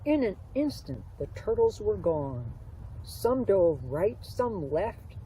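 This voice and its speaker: A woman narrating a children's storybook aloud outdoors, in an expressive read-aloud manner. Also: mid-range voice, takes it slowly. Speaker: author reading own children's book aloud. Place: outdoors beside a pond. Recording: distant mic, faint outdoor noise.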